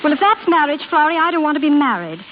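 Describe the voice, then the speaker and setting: An actress playing an anxious, earnest young wife in vintage radio drama, plaintive and hesitant. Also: female voice, high voice, very animated, fast talker. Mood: troubled, plaintive. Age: young adult. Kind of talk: 1930s-40s radio drama acting. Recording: tinny, hissy old radio recording.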